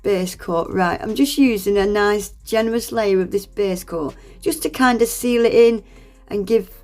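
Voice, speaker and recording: A woman's voice: mid-range voice, a little mellow. A woman, congested with a cold, chatting through a nail art tutorial voice-over in a friendly, self-deprecating way and laughing often.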